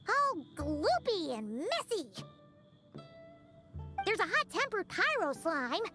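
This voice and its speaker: A woman voicing a small, chatty, excitable fairy companion in a video game, squeaky and childlike. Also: heavily acted. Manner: refers to self in third person, exclaims. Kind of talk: video game character dialogue. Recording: game music behind the voice.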